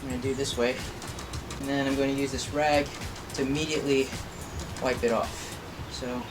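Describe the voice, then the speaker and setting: Mid-pitched voice, informal craft vlogger, step-by-step commentary, self-deprecating, loud music behind. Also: level tone, moderate pace. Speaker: craft video creator. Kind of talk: casual hobby vlog commentary while painting.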